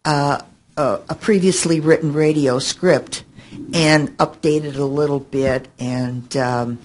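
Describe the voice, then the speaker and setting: An older woman recalling things informally on a panel, halting as she searches for her words. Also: sits low, steady tone, darkish tone. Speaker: panelist, fan and researcher of an old radio show. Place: indoor hotel conference room. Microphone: table microphone on stand. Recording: noisy hotel meeting room.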